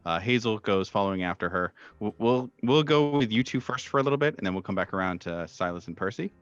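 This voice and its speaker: A man narrating a tabletop horror game as game master, speaking in a halting, improvised way with many pauses. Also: on the low side, lively intonation, dry recording, highs cut off.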